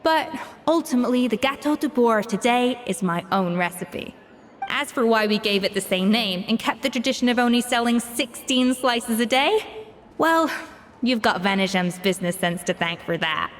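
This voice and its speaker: A woman's voice: fairly high pitch, animated, normal speed, long reverb tail, slight background noise, close to an everyday voice. A woman playing a proud, exacting young master chef in a video game, speaking in an emphatic, precise, dismissive manner. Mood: firm.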